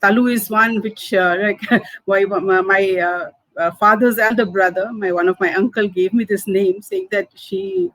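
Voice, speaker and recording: A woman's voice: medium pitch, steady tone, no echo, rough recording. Manipuri woman in her fifties recalling. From Manipur, India. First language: Hindi and Manipuri. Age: 50s. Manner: halting, many fillers, soft laughs. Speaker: food entrepreneur. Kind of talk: interview answers, personal story.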